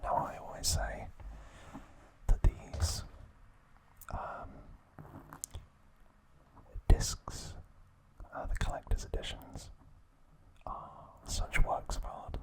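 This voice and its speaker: Whispering man in a slow ASMR narration. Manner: soft, unhurried, chatty and informal. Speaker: ASMR video host.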